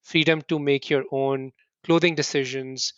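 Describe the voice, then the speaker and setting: A middle-aged man from India, answering interview questions earnestly in quick bursts and often restarting with "right?". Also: mid-range voice, steady tone, a bit bright, smooth, no room sound, highs cut off. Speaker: podcast guest.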